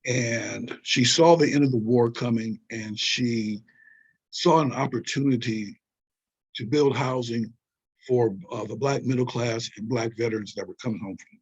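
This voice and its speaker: An older man with a husky edge to his voice, recorded on a dull, lo-fi mic. He tells history in long, digressive stretches and stresses his points as he goes. He pulls the listener along with rhetorical questions. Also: medium pitch, moderate pace. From Southern California. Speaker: guest, historian and author. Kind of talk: interview conversation.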